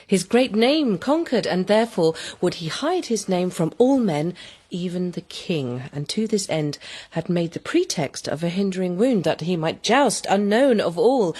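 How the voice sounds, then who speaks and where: A proud guarded queen, huskyish lowish voice, formal old verse drama. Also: darkish tone. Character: proud queen hiding a secret passion.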